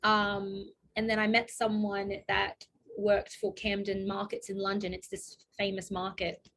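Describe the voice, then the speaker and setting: Woman, 30s, chatty, laughs a lot, restarts sentences, middle pitch, interview guest. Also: completely flat delivery, unhurried, fairly bright tone, a little hiss or hum, rough recording. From Australia. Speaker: guest astrologer. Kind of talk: casual interview chat.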